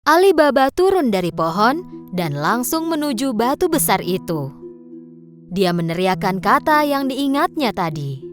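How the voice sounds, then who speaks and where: A woman's voice: fairly high pitch, big pitch swings, unhurried, over music. A woman narrating a children's storybook tale from a script.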